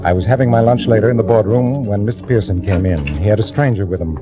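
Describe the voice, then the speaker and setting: An actor playing a meek, guilty office employee in 1940s radio drama, confiding as he narrates and halting, deferential when he speaks to others. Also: on the low side, lightly acted. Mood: calculating. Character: meek male office employee, secretive, guilty, nervously deceitful. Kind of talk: 1940s radio acting, first-person narration and dialogue. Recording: vintage radio recording, muffled, music bed.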